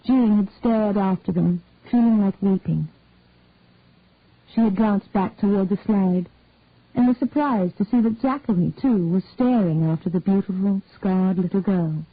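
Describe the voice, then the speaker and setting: A woman reads a short story aloud, measured and unhurried, with a slightly husky voice. The sound is tinny and thin like a phone line, and completely dry, with no room around it. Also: medium pitch, no echo. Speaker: reader. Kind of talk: scripted narration of a detective story.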